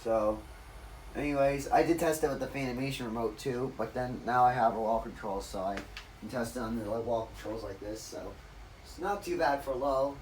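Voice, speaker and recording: A man with a raspy voice rambling through a casual, off-the-cuff demonstration, correcting himself and losing his train of thought. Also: medium pitch, moderate pace, a little mellow, distant mic, a little hiss or hum, low-quality recording. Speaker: ceiling fan collector demonstrating a fan. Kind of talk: casual off-the-cuff video narration.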